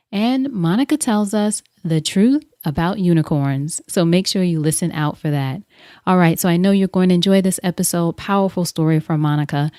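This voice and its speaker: A woman with a smooth, clear voice hosting an upbeat interview podcast on a close mic. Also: fairly low pitch, a little mellow, dry recording.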